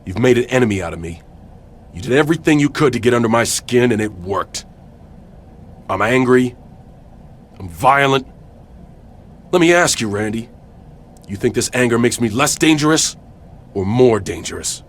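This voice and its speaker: A man playing an intense, defiant wrestling hero in a video game, confrontational and sardonic with a husky voice. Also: mid-range voice, animated, moderate pace, long pauses, slight background noise, close to an everyday voice. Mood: angry, defiant. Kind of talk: video game cutscene acting.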